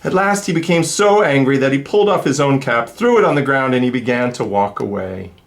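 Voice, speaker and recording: A man reading a children's storybook aloud in an expressive, theatrical and deliberate way. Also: mid-range voice, creaky. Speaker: school leader reading to students.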